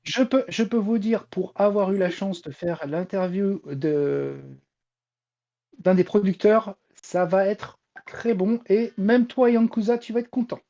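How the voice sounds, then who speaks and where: Podcast panelist, male, soft-edged mellow tone, emphatic, muffled lo-fi audio. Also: sits high, lively intonation, measured pace. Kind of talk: casual chat. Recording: dull, lo-fi voice audio.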